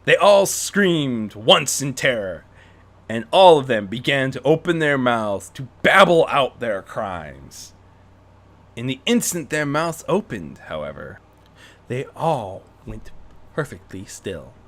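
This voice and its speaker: Animated, bright-voiced man reading a story. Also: medium pitch, takes it slowly. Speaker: fan fiction reader and narrator.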